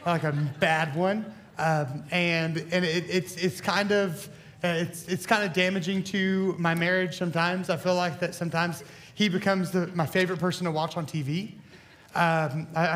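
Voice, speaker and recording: A young man preaches in a hoarse, scratchy voice, high-pitched for a man. He rambles and jokes at his own expense, stumbling through fillers and restarts as he talks to a church crowd. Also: fairly bright tone. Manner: rambling, self-deprecating, many fillers and restarts. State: hoarse from bronchitis. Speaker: student pastor. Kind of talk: casual joking sermon. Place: church hall.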